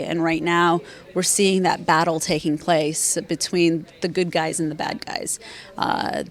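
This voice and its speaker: A woman in her thirties, a former journalist and author, answering interview questions in a measured, thoughtful way with frequent fillers. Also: on the low side, vocal fry. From Afghanistan, raised in Virginia, USA.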